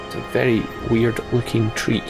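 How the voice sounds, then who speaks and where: A man's voice: medium pitch, flat delivery, normal speed, music in the background. A man reading story text from a game aloud and adding casual commentary as he plays. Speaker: let's player.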